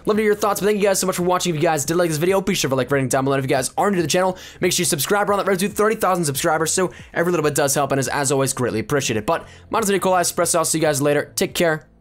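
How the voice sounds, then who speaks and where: Higher-voiced young man presenting gaming news. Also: very fast, few pauses. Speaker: gaming video host. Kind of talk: upbeat gaming news commentary over gameplay.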